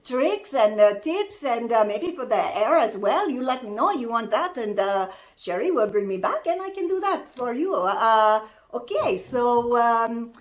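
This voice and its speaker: Lady doing a chatty gal for a vlog, high swoopy voice, takes her time, lots of hesitating. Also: sing-song. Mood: friendly. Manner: halting, hesitant, singsong phrasing. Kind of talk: playful in-character vlog chat.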